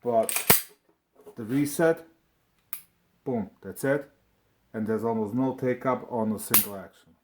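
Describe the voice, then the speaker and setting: A man from Slovakia with a Slovak accent presents a casual, unscripted review, speaking haltingly and often repeating his words. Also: mid-range voice, noisy background. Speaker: video presenter reviewing firearms.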